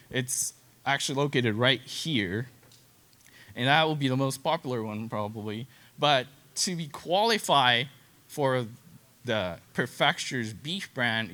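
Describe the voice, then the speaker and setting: A man from Japan with a Japanese accent gives an informal educational presentation in halting, simple non-native English. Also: medium pitch, sharp tone. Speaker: cattle genetics specialist, presenter.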